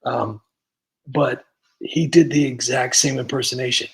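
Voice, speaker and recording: A man's voice: medium pitch, fairly even intonation, measured pace, gravelly, a little hiss or hum. A man hosting a comedy livestream, chatting casually and rambling with lots of fillers and restarts. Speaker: comedy channel host.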